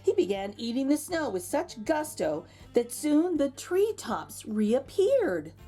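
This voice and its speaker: A woman reading a picture book aloud to children as an expressive storyteller, with exclaimed asides to her young listeners. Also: high-pitched, slow, soft background music. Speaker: children's read-aloud host.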